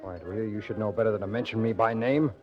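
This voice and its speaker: A male actor as a jittery, snobbish society crook in old-time radio drama. He is clipped and indignant, in a lowish voice. The recording is muffled with faint music. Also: fast talker, natural voice. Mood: nervous, irritated. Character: nervous, haughty upper-class criminal fence, man. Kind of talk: 1940s radio crime drama acting.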